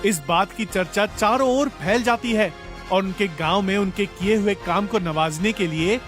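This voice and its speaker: A man's voice: high voice, moderate pace, a bit bright, faint music behind. A man narrating a scripted moral story for children as a storyteller.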